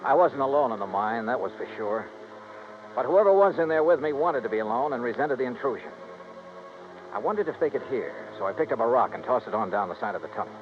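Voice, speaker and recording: An actor playing a wry, polite American insurance investigator in a 1950s radio detective drama. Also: male voice, mid-range voice, expressive, quick pace, music in the background, lightly acted. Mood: narrating, matter-of-fact. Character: wry, gentlemanly freelance insurance investigator hero. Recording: muffled, hissy vintage radio recording.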